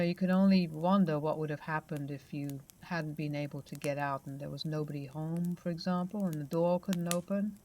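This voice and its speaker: Female narrator, low-pitched, soft conversational storytelling of paranormal tales.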